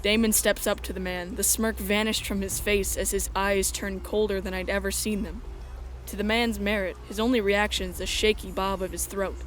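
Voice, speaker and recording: Casting: female narrator, medium pitch, scripted first-person storytelling, light music underneath. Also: level tone, normal speed, low-quality recording.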